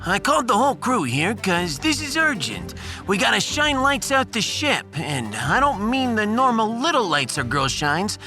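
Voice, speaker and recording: A brash starship captain acted by a man in a sci-fi audiodrama. The delivery is forceful, colloquial and commanding, with very wide pitch swings and faint music behind. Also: very animated, normal speed, exaggerated voice. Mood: urgent. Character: brash, fast-deciding starship captain rallying the crew.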